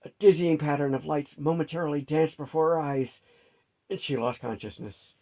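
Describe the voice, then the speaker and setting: A man's voice: a little high, steady tone, moderate pace, darkish tone, dull audio. A man reading a fantasy story aloud as scripted narration.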